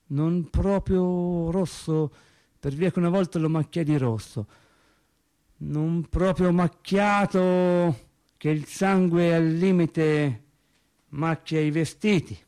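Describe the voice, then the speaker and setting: A man's voice: fairly high pitch, darkish tone. A man with a Tuscan accent playing an embittered, rambling factory worker in a theatrical monologue, correcting himself and repeating phrases as he goes. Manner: digressive, self-correcting, repeating phrases for emphasis. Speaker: actor in a stage monologue for radio theatre. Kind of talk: theatrical monologue, colloquial storytelling.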